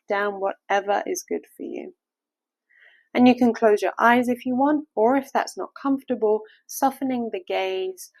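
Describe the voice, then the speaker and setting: A woman leading a guided meditation, giving gentle step-by-step instructions in a soothing, unhurried manner. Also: mid-range voice, no room sound.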